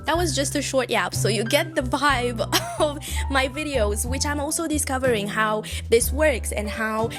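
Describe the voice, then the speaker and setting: A woman's voice: sits high, normal speed, hardly any pauses, over loud music. Chatty young woman, bookish vlog. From Eastern Europe. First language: Eastern European language. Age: 20s. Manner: chatty, rambling, self-deprecating. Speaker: book vlogger. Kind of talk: casual chat to camera. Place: home room with bookshelves.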